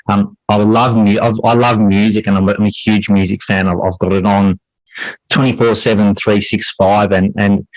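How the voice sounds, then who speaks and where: Man, middle-aged, lowish pitch, dry close sound, earnest, reflective, wandering conversational delivery. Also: no echo, low-quality recording. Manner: earnest, discursive, repeats phrases as thoughts form. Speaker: podcast guest, English teacher and writer.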